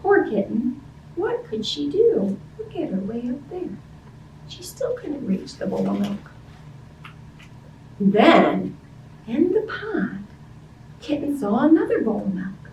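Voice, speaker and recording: A woman's voice: high voice, very animated, slow, long pauses, a little mellow. A woman hosting story time for young children, reading a picture book expressively and chatting in simple, child-directed language with questions to her listeners. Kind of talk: animated picture-book read-aloud and chat to young children. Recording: roomy, distant-sounding, faint background noise.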